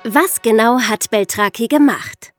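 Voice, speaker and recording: A woman's voice: a little high, measured pace, over loud music. A woman co-hosting a podcast, explaining things conversationally and addressing the listeners directly. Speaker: podcast co-host.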